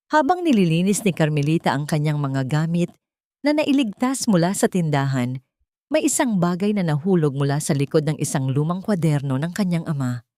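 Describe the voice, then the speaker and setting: A woman's voice: sits low, mellow, no room sound. A woman narrating a scripted dramatic story as its storyteller.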